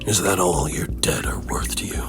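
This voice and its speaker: A man's voice: low-pitched, in a whisper, natural voice. Man doing a grim, stern vigilante detective. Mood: stern, challenging. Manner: grim, measured, pointed. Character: stern, determined male masked vigilante detective. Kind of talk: dramatic comic dub acting. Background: music.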